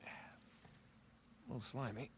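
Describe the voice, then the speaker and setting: A man's voice: measured pace, a bit bright, a little hiss or hum, natural voice. Man playing a wry treasure hunter. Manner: wry, bantering.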